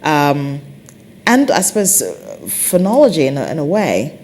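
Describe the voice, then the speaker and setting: An English woman chatting thoughtfully about language, pausing often with fillers and restarts. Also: low-pitched, very animated, darkish tone, a little hiss or hum. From England. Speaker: conversation partner discussing languages. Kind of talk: casual chat.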